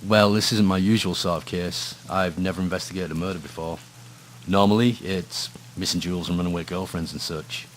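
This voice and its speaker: A low-pitched Scottish detective acted by a man from a noir radio thriller. He is world-weary and dry, speaking with understatement, as if brooding over a grim case. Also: steady tone, a little fast, a little hiss or hum, natural voice. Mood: reluctant, gentle. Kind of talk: noir radio drama, dialogue and brooding first-person narration.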